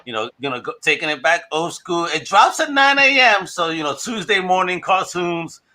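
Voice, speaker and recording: A man's voice: a little high, very animated, moderate pace, pushed and bright. A man hosting a podcast, chatting casually and effusively with plenty of slang. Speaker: podcast host. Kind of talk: casual enthusiastic chat.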